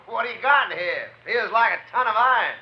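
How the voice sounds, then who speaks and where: Shifty male western outlaw, folksy and evasive, highly animated, dull vintage radio sound. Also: moderate pace, breathy edge, full character voice. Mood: sly, nervous. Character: shifty, nervous drifter outlaw caught by a lawman. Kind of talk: 1950s western radio drama acting. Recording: muffled, noisy old 1950s radio recording.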